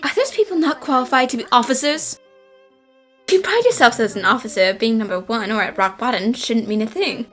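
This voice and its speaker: A woman voicing a confident, cheeky young female detective in an anime fan dub, brisk, smug and theatrical, with music underneath. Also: a little high, expressive, a bit bright. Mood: stern, teasing.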